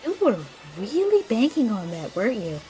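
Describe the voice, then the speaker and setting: A woman's voice: a little high, sing-song, moderate pace, warm tone, soft background music, rough recording. A woman playing a tech-minded, sarcastic female sidekick who teases dryly, in an audio drama. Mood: teasing. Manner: dry, sarcastic, teasing.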